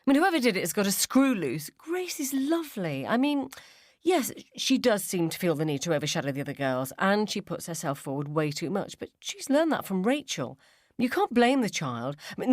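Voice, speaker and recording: A woman's voice: mid-range voice, sing-song, moderate pace, dry recording, studio quality, pitched above the performer's own voice, lightly acted. A woman voicing a domineering, self-righteous school mum in a story, emphatic and judgemental. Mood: defensive. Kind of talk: short story character dialogue.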